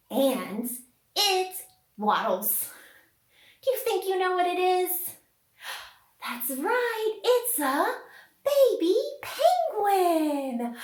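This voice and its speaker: A woman hosting a puppet storytime, talking to young children in an exaggerated, sing-song way with questions and dramatic pauses. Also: sits high, roomy sound.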